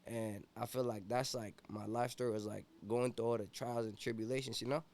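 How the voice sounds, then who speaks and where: A man's voice: mid-range voice, fairly even intonation, fairly quick, a little mellow. A young man from Ethiopia, a rapper guest in a casual interview, talking laid-back and slangy with constant "you feel me" fillers.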